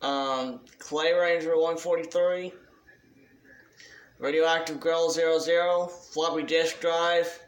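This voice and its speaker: A man giving a casual, unscripted shout-out announcement as a hobby video creator, talking in a halting, deliberate way. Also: fairly high pitch, steady tone, echoey room.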